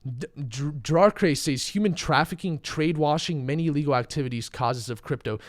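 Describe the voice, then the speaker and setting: Slightly hoarse man hosting a crypto stream. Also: a little high, normal speed. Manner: casual, chatty, uses fillers, reads chat questions. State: losing his voice, a bit sick. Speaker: crypto trading channel host. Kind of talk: live stream market commentary.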